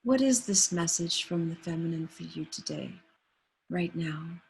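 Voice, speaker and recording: A woman guides a meditation in a soft, soothing voice, and she never hurries. Her tone is husky and a little breathy. She speaks over a video call. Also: lowish voice, a little slow, low-quality recording.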